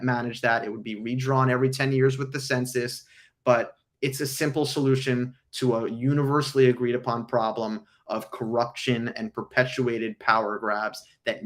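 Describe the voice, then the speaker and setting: Male livestream host, hoarse, earnest, lots of filler words, mid pitch. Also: fairly bright tone. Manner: frequent ums, earnest explanatory. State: hoarse from illness. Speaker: livestream host answering viewer questions. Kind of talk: casual livestream talk.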